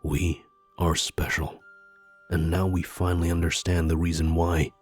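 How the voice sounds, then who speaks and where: An actor playing a solemn, conspiratorial mystic seer in a visual novel game, speaking gravely, deliberately and formally. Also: male voice, low voice, level tone. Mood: solemn, persuasive. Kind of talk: scripted visual novel character dialogue. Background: background music.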